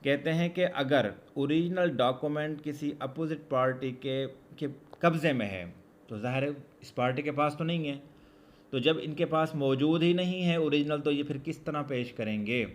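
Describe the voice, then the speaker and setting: A man with a Pakistani accent giving a law lesson, reading out formal text and explaining it deliberately, repeating key phrases. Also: a little high. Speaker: law lecturer.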